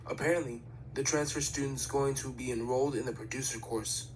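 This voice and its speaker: A man plays a studious, fussy teenage boy from an anime fandub. The voice is medium-pitched, formal and matter-of-fact, explaining things precisely. There is faint noise on the home recording. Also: steady tone, a little fast, natural voice, poor audio. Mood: explanatory. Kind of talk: amateur anime fandub acting.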